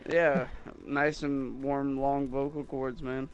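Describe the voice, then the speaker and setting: A man talking loosely and casually, circling back on himself with restarts and fillers as he goes. His pitch sits in an ordinary middle range, and a faint hiss lies under the voice. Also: little pitch movement, takes it slowly, dull audio. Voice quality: higher-pitched voice, in his own view. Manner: rambling, with many restarts and fillers. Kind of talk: casual chat.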